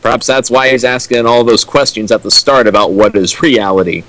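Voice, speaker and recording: A man's voice: medium pitch, brisk, fairly bright tone, a little hiss or hum, poor audio. A young man reading character lines from a fanfic and mocking it with dry, pointed questions. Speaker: co-riffer. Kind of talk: comedic dramatic reading and riffing.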